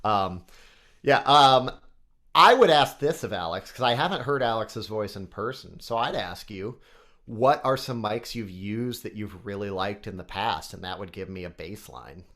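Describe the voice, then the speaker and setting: A man's voice: fairly low pitch, expressive, normal speed, pushed and bright. A man with a nasal voice, a guest on a podcast, chatting casually and technically about microphones. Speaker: guest, microphone company CEO.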